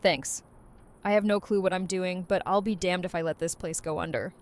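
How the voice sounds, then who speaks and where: A woman's voice: lowish voice, steady tone, moderate pace, close to an everyday voice. Woman acting a brash, blunt young leader. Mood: rueful. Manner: casual, blunt, profane. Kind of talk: fan audio drama acting.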